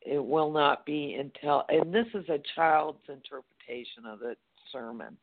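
A caller with a gravelly, creaky voice who talks in a rambling way and keeps restarting sentences. The sound comes through a tinny phone line. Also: mid-range voice, normal speed. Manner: rambling, many fillers and restarts. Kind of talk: phone-in conversation.

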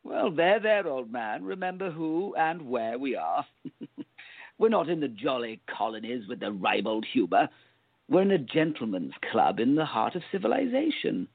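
A man playing a pompous, jovial, sly gentleman in a radio play, speaking in an affected, genteel, condescending way. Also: medium pitch, big pitch swings, moderate pace, vocal fry, dry recording. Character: pompous, jovial, sly gentleman time traveler, full of himself. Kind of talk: hobby radio play acting. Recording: dull lo-fi audio.

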